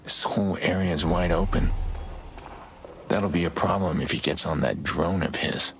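An actor playing a weary, guarded middle-aged vigilante in a video game, speaking in a terse, understated way. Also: male voice, deep voice, moderate pace, slight background noise. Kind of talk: cinematic game dialogue.

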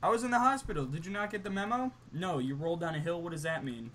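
A young man bantering casually and telling stories in an incredulous, animated way over an online game. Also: on the high side, quick pace, a little hiss or hum. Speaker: gaming story host.

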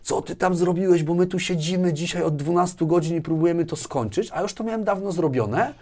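A man's voice: sits high, brisk, warm tone. A man, talking casually as an interview guest, often repeating words and checking in with short tag questions. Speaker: interview guest, business manager. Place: small furnished indoor studio, seated. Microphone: lapel mic.

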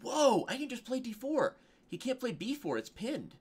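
Excitable man calculating moves aloud. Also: extremely high pitch, normal speed, husky. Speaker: chess streamer. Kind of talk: live game commentary, thinking aloud. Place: indoor home streaming room. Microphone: headset microphone.

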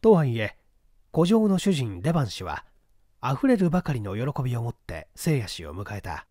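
Animated male audiobook narrator, lively intonation. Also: mid-range voice, moderate pace, dry recording, clean studio sound. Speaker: audiobook reader. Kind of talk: scripted literary narration of a period detective novel.

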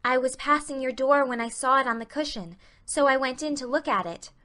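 A woman's voice: high-pitched, fairly quick, pushed and bright, pitched above the performer's own voice. Woman voicing an earnest, chatty little girl. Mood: hesitant. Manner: eager, talkative, earnest. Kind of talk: audiobook character reading.

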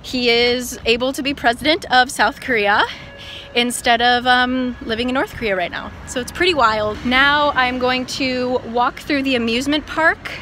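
A woman's voice: high-pitched, steady tone, normal speed, pushed and bright. A young woman chatting casually and informally to camera as a travel vlogger, recorded outdoors on a handheld phone. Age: young adult. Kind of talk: casual vlog chat to camera. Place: outdoors in an open park and parking lot. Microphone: phone at arm's length. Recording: outdoor noise, wind and traffic ambience.

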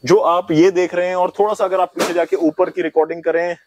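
Guy in his 30s doing a polite sales pitch in a shoe showroom, voice a bit high, lapel mic. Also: little pitch movement, brisk, a little mellow, a little hiss or hum. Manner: polite salesman patter, repeats selling points. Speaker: shoe factory representative. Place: shoe showroom and warehouse, indoors. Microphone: clip-on lapel mic.

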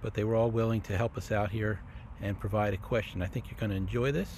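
A man's voice: on the low side, fairly even intonation, fast, darkish tone. A middle-aged man casually introducing a video as its host, recorded outdoors. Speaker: host, library staff. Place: outdoors by leafy trees. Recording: slightly noisy outdoor recording.

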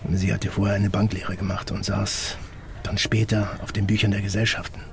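An actor playing a guarded middle-aged investigator in an audio drama, explaining things in a terse, matter-of-fact way. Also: male voice, lowish voice, completely flat delivery, normal speed, gravelly, a little hiss or hum. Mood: measured, guarded. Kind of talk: German audio drama dialogue.

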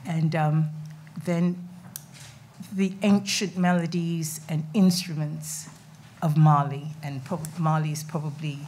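A woman's voice: low voice, fairly even intonation, slow, a little mellow. A middle-aged woman speaking on a festival panel in a conference room, talking chattily with many fillers and restarts. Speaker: panel speaker, documentary maker. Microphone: table mic on stand.

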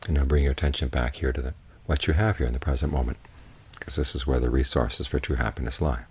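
A man teaching in a guided meditation, giving measured, unhurried instructions with long pauses. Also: a bass voice, steady tone, darkish tone, husky. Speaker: Buddhist monk, meditation teacher.

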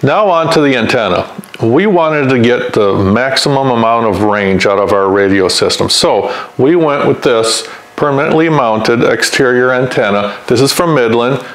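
An American man in his fifties hosts a hands-on how-to in a medium-pitched voice. He is plain-spoken and practical, explaining each step in conversational language. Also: poor audio. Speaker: host of a DIY vehicle install channel.

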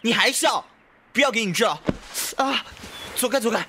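A male actor playing a feisty, touchy but soft-hearted young man in a Chinese costume audio drama. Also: very high-pitched, animated, normal speed, slight background noise, full character voice. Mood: indignant, pained.

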